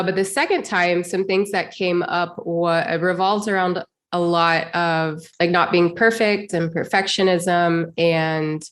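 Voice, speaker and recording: A woman host with a lowish, nasal voice, her nose stuffy from a recent cold. She talks in an easy, chatty way, adding personal asides as she asks her guest questions. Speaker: podcast host. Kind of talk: casual interview chat.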